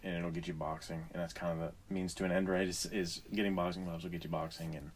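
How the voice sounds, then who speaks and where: A man's voice: sits low, level tone, quick pace, a little hiss or hum. A man co-hosting a podcast in casual, informal chat, speaking in an earnest, encouraging way. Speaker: podcast co-host.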